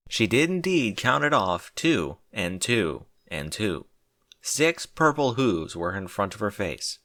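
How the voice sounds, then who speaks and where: A man reading a story aloud as narrator in an expressive, scripted storytelling style. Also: sits low, no room sound, clean studio sound.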